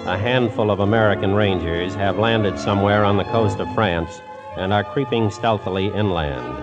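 A man's voice: fairly low pitch, normal speed, over loud music. A man announcing and narrating a scripted 1940s radio drama. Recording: muffled old radio broadcast.

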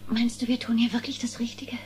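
A woman's voice: fairly high pitch, little pitch movement, a little fast, heavy background noise, close to an everyday voice. A woman playing a worried, indignant mother in an audio drama, rambling and interrupting herself. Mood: wistful, unsure. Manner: rambling, stammering, self-interrupting. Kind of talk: German audio drama acting.

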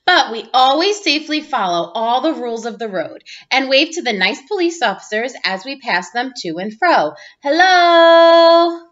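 A woman reads a children's picture book aloud with playful expression. Her voice is high and swings widely in pitch. The sound is slightly dull and lo-fi, like a webcam in a room at home. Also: big pitch swings, normal speed, fairly bright tone. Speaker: teacher reading aloud. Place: small room at home, indoors.

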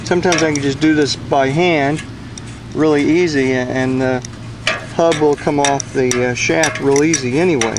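A middle-aged man working as an HVAC technician, explaining a repair step by step in a plain, unhurried way with many pauses, recorded outdoors beside an air conditioning unit. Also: medium pitch, very noisy. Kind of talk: hands-on repair tutorial.

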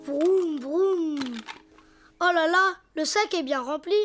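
Small cartoon donkey boy, very high-pitched, childlike, imaginative, proud of himself. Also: takes it slowly, a bit bright, heavily acted. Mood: cheerful, busy. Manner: chirpy, childlike, boastful. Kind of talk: French children's cartoon acting. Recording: faint music behind.

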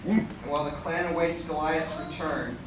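A man's voice: on the high side, fairly even intonation, normal speed. A man narrating a scripted radio play live in a conference room. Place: hotel conference room with audience. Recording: echoey meeting room, distant, noisy.

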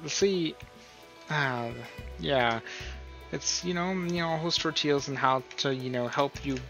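Bright-voiced man giving a casual tutorial. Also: on the high side, takes it slowly, faint music behind. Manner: rambling, informal. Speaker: tutorial host. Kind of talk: casual screen-recorded tutorial talk. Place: voice-over on a screen recording.

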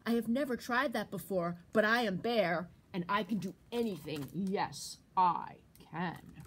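A woman voicing a big, boastful male bear in a read-aloud story, speaking in a slow, booming, bragging way. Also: a bit bright, slight background noise, close to an everyday voice. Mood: proud.

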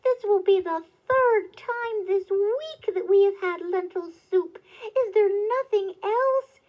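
A woman's voice: high-pitched, animated, a little slow, narrow, tinny audio. A woman voicing a grumbling, emphatic male woodcutter in a children's storybook. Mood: complaining. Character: plain-living, grumbling male woodcutter and husband.

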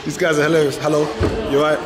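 A man's voice: fairly high pitch, flat delivery, racing, very noisy. A man, vlogging with playful, teasing banter in a busy cafe, filming himself on a handheld camera. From England. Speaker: travel vlogger. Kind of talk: casual joking vlog chat. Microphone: handheld action camera at arm's length. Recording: crowded cafe with long reverb.

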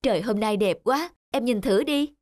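An actress playing a caring young elder sister in a cartoon drama, speaking in a gentle, earnest, consoling way. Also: female voice, on the high side, lively intonation, normal speed, lightly acted. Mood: coaxing. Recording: prominent background music.

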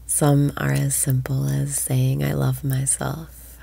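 A woman guides a breathing meditation in a low, gravelly voice that keeps slipping into soft whispers. She speaks slowly and warmly, close to a dry, deadened mic. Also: completely flat delivery, dry recording. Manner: slow soothing delivery, drops into whispers.

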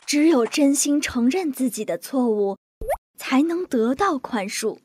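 A woman narrating bedtime fairy tales for young children in a scripted, expressive way. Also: high voice, unhurried, husky, no room sound, clean studio sound. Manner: lively, expressive. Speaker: storyteller.

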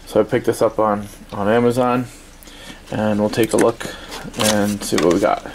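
A man giving a casual, halting, offhand unboxing review at a desk in a small indoor workspace. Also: on the low side. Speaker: product reviewer. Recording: steady noisy room ambience.

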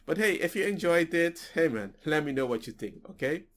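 Man, native Papiamento speaker, musing vlog talk, small room, headset mic, soft music under. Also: on the high side, animated, fairly quick. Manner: musing, with restarts and slips. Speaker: reaction video host. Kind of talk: casual reflective monologue. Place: small home room with bookshelves.

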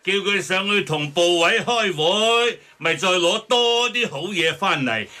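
A man's voice: high-pitched, lively intonation, a little slow, sharp tone, exaggerated voice. A man playing a brash, dismissive middle-aged character who blusters and argues in a radio drama. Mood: scornful, blustering.

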